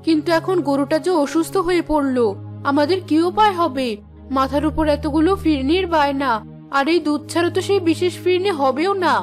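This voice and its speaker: A woman's voice: sits high, brisk, darkish tone, soft background music, lightly acted. A woman voicing a remorseful, worried village parent in a fairy-tale cartoon. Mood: apologetic, fretful.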